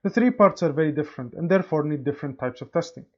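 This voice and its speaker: A man's voice: fairly high pitch, lively intonation, very fast. A young man, a student presenter, reading a scripted technical report. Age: young adult. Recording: slightly dull, lo-fi microphone.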